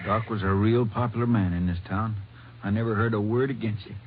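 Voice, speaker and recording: An actor with an American accent playing a plain-spoken, sympathetic small-town sheriff in an old-time radio drama. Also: male voice, fairly low pitch, fairly even intonation, brisk, close to an everyday voice. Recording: muffled, noisy old radio recording.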